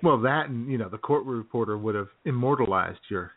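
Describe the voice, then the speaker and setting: A man's voice: mid-range voice, brisk. A man co-hosting a comedy radio show in casual, teasing banter. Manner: teasing, joking. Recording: tinny, phone-like band-limited audio.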